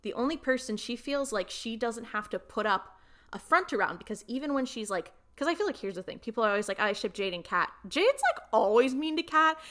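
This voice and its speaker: A young woman co-hosting a podcast, telling stories in casual fan chat with slangy asides and acted-out quotes. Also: mid-range voice. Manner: animated storytelling, frequent quoting and slangy asides. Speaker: podcast co-host.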